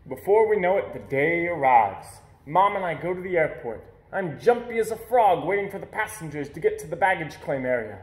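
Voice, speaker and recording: A man reading a children's picture book aloud in an expressive storytelling style. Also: fairly high pitch, fairly quick, hardly any pauses, reverberant, low-quality recording.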